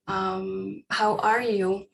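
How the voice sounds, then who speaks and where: A woman, a therapist on a video call, slowly reading a prepared personal statement with soft pauses. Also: medium pitch, flat delivery, gravelly. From Ukraine. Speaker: therapist and webinar panelist.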